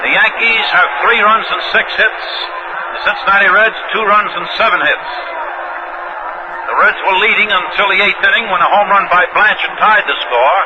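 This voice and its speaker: Through heavy noise on a muffled vintage radio broadcast comes a man's high-pitched voice calling a baseball game live. He works as a 1960s play-by-play announcer, setting out each detail in a measured way and often repeating it. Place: live at a ballpark during a game.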